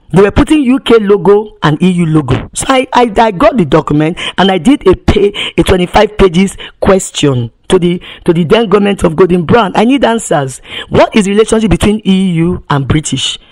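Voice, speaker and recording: A woman's voice: medium pitch, darkish tone. An impassioned woman activist testifies at length. She speaks emphatically, piles up rhetorical questions and often trips over repeated words before pushing on.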